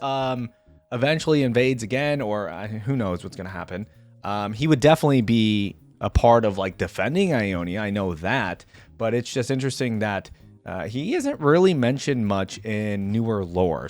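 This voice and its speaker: A man's voice: on the low side, animated, normal speed, faint music behind. A man hosting a reaction video, giving casual, rambling commentary and addressing his viewers directly.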